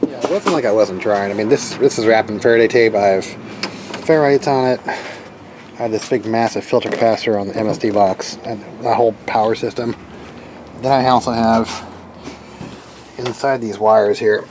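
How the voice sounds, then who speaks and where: A man's voice: on the low side, moderate pace. Man talking casually over vehicle noise. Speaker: DIY car radio tinkerer narrating repair video. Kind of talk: casual hands-on demonstration talk. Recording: clear background noise, vehicle ambience. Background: vehicle ambience and noise.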